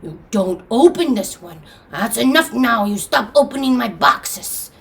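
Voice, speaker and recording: A woman's voice: on the high side, expressive, unhurried, gravelly. A woman voicing an old, possessive but indulgent grandfather clan leader in a folktale, scolding with emphatic repeated refusals. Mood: weary indulgence.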